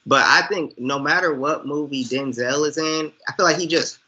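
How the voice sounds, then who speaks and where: An American man co-hosting a casual movie chat, talking in an animated, slangy way. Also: fairly high pitch, normal speed, dull audio, a little hiss or hum. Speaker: co-host.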